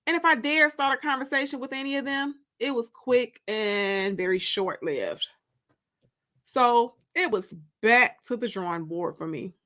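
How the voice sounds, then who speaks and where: Bright-voiced woman reading a story dramatically. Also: fairly high pitch, a little slow. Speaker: narrator reading a story aloud. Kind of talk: expressive first-person dramatic reading.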